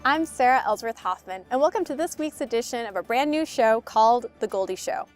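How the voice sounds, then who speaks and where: A woman's voice: a little high, expressive, a little fast, faint music behind. A woman announcer reading a formal, scripted introduction to a show. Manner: formal read-aloud delivery.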